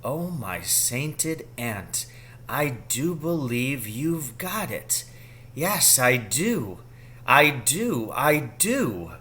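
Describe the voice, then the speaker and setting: A man voicing an excitable, dreamy father in a storybook, speaking in fond exclamations. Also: medium pitch, drawn-out words, slight background noise, heavily acted. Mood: awed wonder. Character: excitable, dreamy, affectionate father scheming at poaching.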